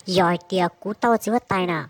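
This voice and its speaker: A woman's voice: on the low side, quick pace, no room sound, natural voice. A woman voicing a female character in a comic cartoon story. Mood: talkative. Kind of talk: cartoon voice acting. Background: music.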